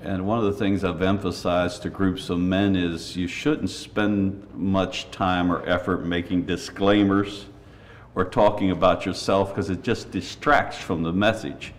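An older man preaching a sermon in church, earnest and measured, with folksy asides and a slightly raspy voice. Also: low-pitched, level tone, a little hiss or hum.

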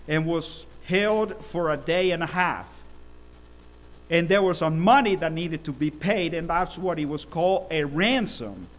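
Middle-aged preacher guy from el salvador, kinda high voice, storytelling sermon, gets loud on key words. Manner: storytelling, emphatic swells in volume.

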